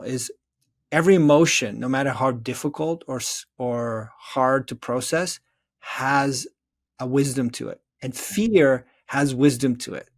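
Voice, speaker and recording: A man speaking as an interview guest, reflecting in an earnest, thoughtful and explanatory way. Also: mid-range voice. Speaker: interview guest, therapist and author.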